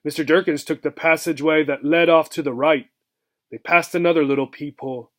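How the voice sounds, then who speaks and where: A man with a slightly high voice reads a children's book aloud. His narration is expressive, in the style of a teacher telling a story to young listeners. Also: a little fast. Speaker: reader, teacher.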